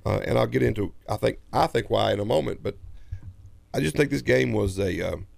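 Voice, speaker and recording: A man co-hosting a local sports radio show, hoarse from a sinus infection, rambling and repeating himself. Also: lowish voice, fairly quick, no room sound. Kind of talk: casual sports talk. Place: radio studio. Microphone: studio microphone.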